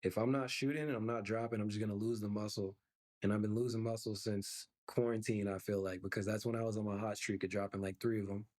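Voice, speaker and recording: A man's voice: fairly low pitch, little pitch movement, fast, a little mellow. A young man who is a musician, answering podcast interview questions in an easygoing, reflective way with lots of fillers. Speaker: musician guest.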